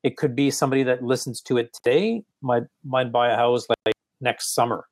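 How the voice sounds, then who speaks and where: A man with a slight French accent, a real estate agent talking through his email follow-ups, halting and often restarting his sentences. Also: mid-range voice, fairly even intonation. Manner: halting, restarts often, many "you know"s. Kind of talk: casual coaching conversation.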